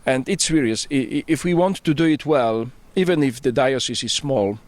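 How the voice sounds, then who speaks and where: Man, Polish accent, middle-aged, priest, earnest, mid-range pitch, searching for words, rooftop outdoors. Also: moderate pace, dry recording. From Poland. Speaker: priest and bishop-elect, interviewee. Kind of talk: casual interview.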